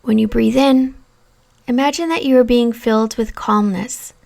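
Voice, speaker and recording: A woman narrating a guided meditation in a calm, soothing, deliberate manner, giving relaxation instructions with pauses. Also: a little high, creaky. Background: new-age music.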